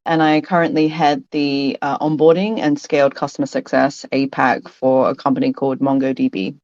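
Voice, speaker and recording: Low-voiced woman, dull dry sound. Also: level tone, moderate pace, a little mellow, no echo. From London, UK. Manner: thoughtful, hesitant, restarts phrases and laughs often. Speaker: podcast guest. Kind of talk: casual interview conversation.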